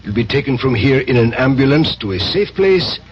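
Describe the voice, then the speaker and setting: An actor playing a methodical, menacing Russian secret police agent with an authoritative manner in a 1970s radio drama. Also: male voice, mid-range voice, sing-song, fairly quick, natural voice. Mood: coldly menacing. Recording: muffled, noisy old radio recording.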